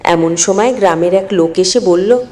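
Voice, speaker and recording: A woman's voice: lowish voice, moderate pace, dark tone. A woman narrating a scripted moral story in an earnest, dramatic storytelling manner. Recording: long hall-like reverb.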